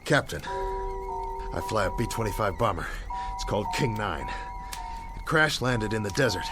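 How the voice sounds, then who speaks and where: An actor with an American accent playing a dazed, guilt-ridden young bomber captain in a radio drama, talking to himself in broken, searching phrases. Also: male voice, on the low side, lively intonation, a little slow, music in the background. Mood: straining to focus.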